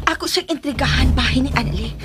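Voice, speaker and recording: An actress with a Filipino accent playing a scheming, gloating woman villain in a radio drama, in a theatrical, drawn-out manner. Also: high voice, close to an everyday voice. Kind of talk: Philippine radio drama acting. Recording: radio drama with loud music underneath.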